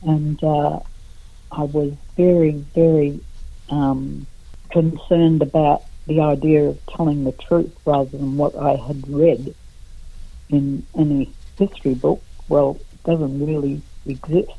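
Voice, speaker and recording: Slow, gravelly-voiced woman on a phone line. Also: sits low, steady tone, no room sound. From Australia. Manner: slow, halting, many pauses and fillers. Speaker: guest artist. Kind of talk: radio interview.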